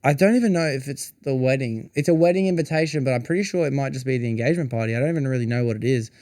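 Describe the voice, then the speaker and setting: A man's voice: mid-range voice, very fast, darkish tone, dry recording, clean studio sound. Laid-back man hosting a chat. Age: 20s. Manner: chatty, laid-back, says "yous" and "bro". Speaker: podcast host. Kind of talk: casual interview chat.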